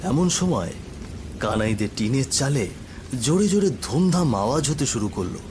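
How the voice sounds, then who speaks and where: Male narrator, scripted cartoon storytelling, mid-low voice, clear stormy noise behind. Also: animated, moderate pace.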